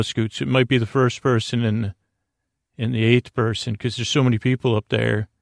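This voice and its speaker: A man speaks in a creaky, fry-laden voice at a middling pitch, in a very dry recording. He delivers a lulling bedtime monologue as a podcast host, wandering off on tangents and interrupting himself in a halting, meandering way. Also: no echo.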